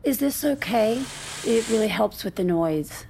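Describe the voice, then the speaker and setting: An actress playing a gentle woman who records intimate confessions in an audio drama, soft-spoken, careful and reassuring. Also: a little high, expressive, unhurried, creaky, slight background noise. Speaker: interviewer.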